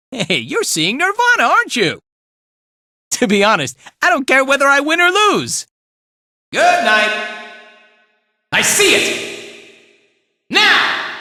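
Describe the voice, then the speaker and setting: An easygoing, teasing male fighter from a video game. His pitch leaps about wildly and the tone is bright and sharp. He drawls short, flippant lines at an unhurried pace, with a world-weary shrug. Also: dry recording, studio quality, full character voice.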